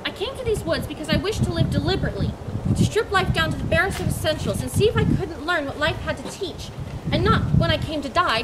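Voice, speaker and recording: A woman's voice: extremely high pitch, very noisy. A woman reading the part of an idealistic male writer who lives alone in a woodland cabin, speaking in an earnest, declamatory way. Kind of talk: stage play reading.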